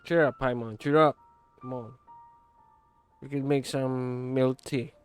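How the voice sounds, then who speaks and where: A woman streamer with a very low voice, reacting casually to a game. She speaks slowly, with faint music behind her. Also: fairly even intonation.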